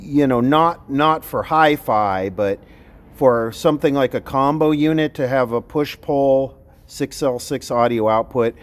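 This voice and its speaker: A man giving casual, unscripted commentary as he repairs electronics, talking bluntly and thinking aloud in fragments. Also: mid-range voice. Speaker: electronics repair video host.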